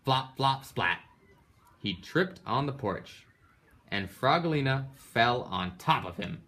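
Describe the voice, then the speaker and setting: A man's voice: medium pitch, expressive, takes it slowly, pushed and bright. A man reading a children's picture book aloud in a dramatic way, with emphatic sound-effect words. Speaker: storybook reader. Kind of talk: animated children's read-aloud.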